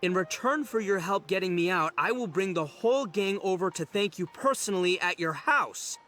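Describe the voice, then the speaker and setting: A man's voice: high voice, expressive, moderate pace, sharp tone, soft background music. A man voicing a brash, dim, big-hearted young gang boss who blusters and bumbles theatrically in a video game. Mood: indignant.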